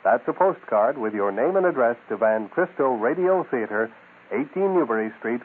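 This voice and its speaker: A man's voice: mid-range voice, narrow, tinny audio. A man hosting a radio show, reading scripted promotional announcements on a thin radio recording.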